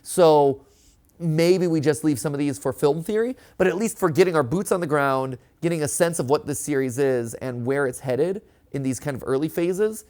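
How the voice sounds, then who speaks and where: A man hosting a reaction show, theorizing casually in an animated, conversational way and often checking in with a tag question. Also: on the high side, normal speed. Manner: animated, conversational, often ends points with "right?".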